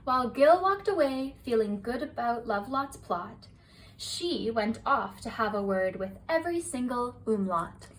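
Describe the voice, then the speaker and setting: High-voiced woman reading bedtime rhymes. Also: lively intonation, unhurried. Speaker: storybook reader. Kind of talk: bedtime story read-aloud. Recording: distant mic, faint hiss.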